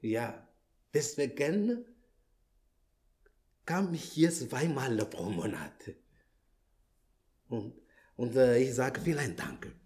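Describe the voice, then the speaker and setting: A man whose first language is Malagasy, speaking German with a French accent as a visiting preacher in church, halting and searching for words. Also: medium pitch, animated, darkish tone. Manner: halting, many fillers and restarts, searches for words. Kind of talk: church greeting and sermon opening. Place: church hall.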